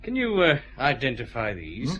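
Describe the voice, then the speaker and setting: A shrewd police inspector, lively delivery. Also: male voice, medium pitch, moderate pace, faint music behind. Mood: businesslike, dry. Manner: probing, businesslike questioning. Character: shrewd, steady male police chief inspector. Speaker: actor in a radio detective drama. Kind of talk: audio drama acting.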